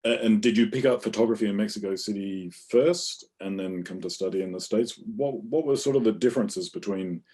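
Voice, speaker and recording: A man interviewing a guest, asking careful, measured questions. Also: on the low side, warm tone, slightly rough. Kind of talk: interview conversation.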